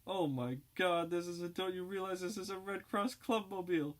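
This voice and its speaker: A man's voice: sits high, normal speed, voice pushed up, natural voice. A man voicing a frantic young Red Cross canteen girl in an audiobook reading, pleading and shrieking.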